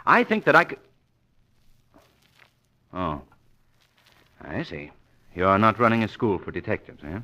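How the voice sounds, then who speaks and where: An actor playing a self-assured, urbane gentleman detective with a wry manner in an old-time radio crime drama. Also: lowish voice, very animated, measured pace, long pauses, exaggerated voice. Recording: vintage radio drama recording.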